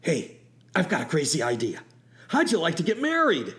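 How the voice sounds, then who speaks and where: A man plays an eager, romantic young fellow in a radio story. His voice sits a little high and swings widely in pitch. He tells things with folksy, wry humor. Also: huge pitch swings, normal speed, fairly bright tone, full character voice. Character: young male driving instructor turned soldier, eager, wry, romantic. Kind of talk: first-person radio storytelling with acted dialogue.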